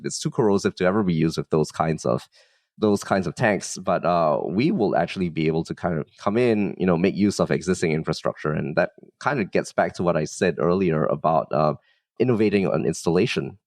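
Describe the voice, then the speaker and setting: Low-voiced man from Singapore, close and dry. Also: dry recording. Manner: modest, explanatory, with frequent "like" and "you know" hedging. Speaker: startup founder, guest. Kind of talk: casual podcast interview.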